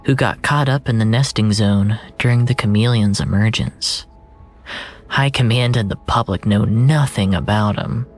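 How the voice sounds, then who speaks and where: A storyteller narrating first-person literary fiction in a deliberate, brooding manner, with phrases that sink into a hushed breath. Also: medium pitch.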